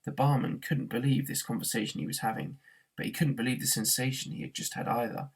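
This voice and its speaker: A man reading a novel aloud as an expressive narrator, in the way of a teacher reading to a class. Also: medium pitch, fast talker, creaky, breathy edge.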